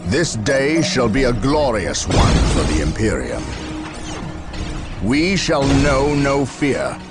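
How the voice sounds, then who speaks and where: An actor playing a proud, devout space marine captain in a video game, gravelly, commanding and solemn. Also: male voice, medium pitch, very animated, slow pace, loud music behind the voice. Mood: devout, resolute. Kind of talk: video game cutscene and unit acting.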